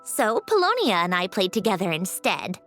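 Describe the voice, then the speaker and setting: A woman voicing a self-assured, brisk yet reflective female automaton in an English video game. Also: mid-range voice, huge pitch swings, fairly bright tone, faint music behind. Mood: nostalgic, confident. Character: female created automaton, wistful, bossy, proud, fond of puzzles.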